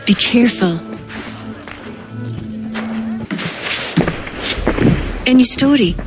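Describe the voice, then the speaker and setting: An actress playing a composed, sharp-witted and commanding court poetess in a cinematic video game. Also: female voice, fairly high pitch, animated, fairly quick, close to an everyday voice. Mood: concerned. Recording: music behind the voice.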